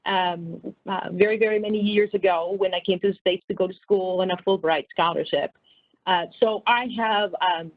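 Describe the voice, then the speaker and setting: A middle-aged woman with a Peruvian Spanish accent speaks earnestly and at a measured pace, addressing a virtual town hall as a college vice chancellor. Her voice sits a little low, and it comes through a tinny, phone-like video-call line. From Peru.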